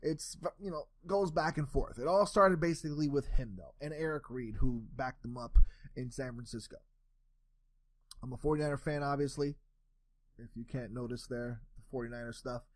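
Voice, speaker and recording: A man's voice: mid-range voice, moderate pace, no echo, poor audio. A young man from New York giving a solo sports opinion talk, rambling with frequent restarts and repeated words. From New York, USA. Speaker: sports commentary host.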